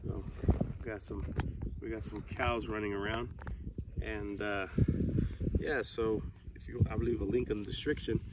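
A young man giving a casual, halting product review to camera as a vlog host, recorded outdoors at some distance from the camera. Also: fairly low pitch, fairly even intonation, heavy background noise. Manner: halting, unscripted delivery. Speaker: video host, hunter reviewing gear. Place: outdoors on an open grassy hillside. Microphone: camera a few metres away.

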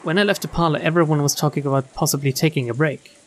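A woman streamer reading visual novel text aloud in an expressive storytelling style. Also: deep voice, fast. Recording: game music behind the voice.

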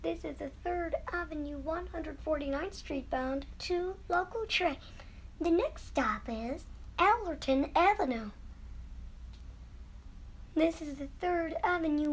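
A woman reading scripted subway train announcements in a measured, formulaic manner. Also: very high voice, slight background noise.

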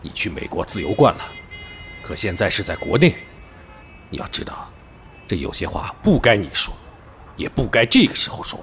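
A man's voice: on the low side, sing-song, normal speed, gravelly, long pauses, fairly bright tone, slight background noise, exaggerated voice. A man playing a stern, cautious middle-aged police officer and father, admonishing and emphatic, in an audio drama. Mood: reproachful. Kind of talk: Chinese audio drama acting.

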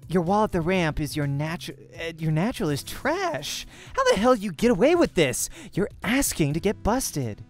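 A man's voice: high-pitched, big pitch swings, normal speed, few pauses, soft background music, voice pushed up. A man voicing a curt, sarcastic, competitive young woman pro gamer from a visual novel. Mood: scornful.

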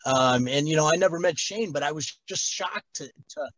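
A middle-aged man from Connecticut tells stories on a video call in a loose, rambling way, full of fillers and restarts. His voice sits a little high, and the sound is dry and close. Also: normal speed, fairly bright tone, dry recording. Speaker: guest, former wrestler. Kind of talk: casual storytelling on a video call.

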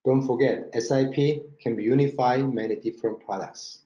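Mellow Korean-accented man in a roomy space. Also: medium pitch, steady tone. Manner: halting non-native English with frequent check-in words. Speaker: company marketing director presenting a webinar. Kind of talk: product presentation over slides. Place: indoor room, online webinar with screen share. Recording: roomy, dull webinar audio.

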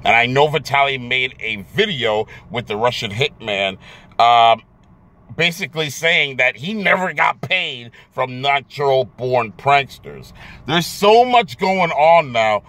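A man's voice: medium pitch, animated, measured pace, bright, forceful tone. An American man in his thirties talking casually to camera as a vlogger in a car, emphatic and often repeating words as he searches for the next one. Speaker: YouTube vlogger. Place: inside a car in daylight. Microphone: phone or camera at arm's length.

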